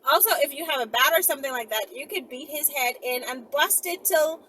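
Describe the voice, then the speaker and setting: A woman chatting casually to her viewers in a blunt, slangy, animated way, often sidetracking herself. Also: high voice, brisk. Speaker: video channel host. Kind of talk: casual rambling chat to viewers. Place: outdoors near houses and passing cars. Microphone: phone on a holder. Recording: noisy outdoor phone recording, passing vehicles.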